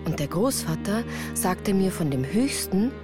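An actress playing a woman who recalls her village childhood, narrating in measured, reflective literary prose for a radio play. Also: mid-range voice, sing-song. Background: music.

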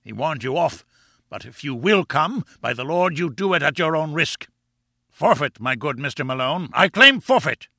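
A furious, booming, pompous professor. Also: male voice, expressive, moderate pace, vocal fry, pushed and bright, dry recording, studio quality, full character voice. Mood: raging contempt. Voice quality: rumbling, booming roar. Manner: pompous, menacing, insulting. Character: short, barrel-built furious professor, bullying and contemptuous. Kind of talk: audiobook character acting.

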